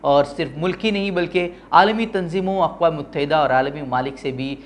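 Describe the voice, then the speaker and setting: A man's voice: fairly high pitch, a little fast. A man presenting opinionated news with formal, literary diction. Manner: formal Urdu-heavy diction.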